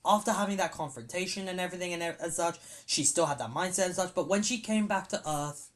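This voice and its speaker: A casual podcast co-host with an even, middle-pitched voice who wanders through thoughts and often stops mid-sentence to hunt for a word. The delivery is loose and conversational, with the odd swear dropped in without much weight. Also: quick pace. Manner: rambling, searches for words aloud. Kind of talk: casual film discussion podcast.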